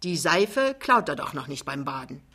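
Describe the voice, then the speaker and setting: A woman voicing a big, boisterous, fast-talking male wheeler-dealer in a dramatized book reading, chummy and colloquial. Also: sits low, very animated, sharp tone, husky, full character voice. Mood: confiding, chummy.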